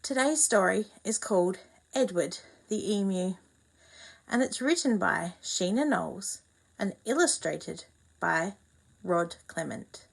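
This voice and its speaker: Brief: female storyteller for kids, slow and unhurried, very lively pitch, gravelly edge. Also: poor audio. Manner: expressive, pausing to ask young listeners questions. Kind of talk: reading a children's picture book aloud.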